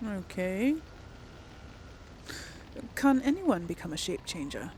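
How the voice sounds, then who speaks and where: A woman's voice: lowish voice, very animated, slow pace, darkish tone, a little hiss or hum. A woman doing casual let's play commentary, thinking aloud in an informal, hesitant way. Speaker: gamer, let's play host.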